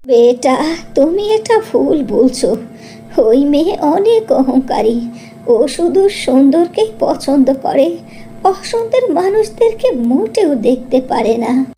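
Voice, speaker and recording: A woman's voice: high voice, big pitch swings, takes it slowly, mellow, a little hiss or hum, exaggerated voice. A woman voicing a stern, disapproving old woman in a cartoon fairy tale. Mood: stern disapproval.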